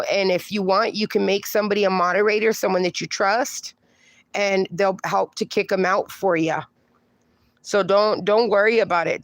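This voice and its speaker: A woman's voice: medium pitch, no echo. A woman hosting a casual livestream, reading viewer comments aloud and answering them in a chatty, reassuring way. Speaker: livestream host.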